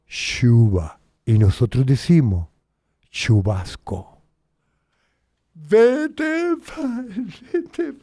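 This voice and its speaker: Lively Puerto Rican man hosting. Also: dry recording, studio quality. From Puerto Rico. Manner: animated, emphatic, swings between low asides and loud exclaims. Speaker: host. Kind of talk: casual educational talk.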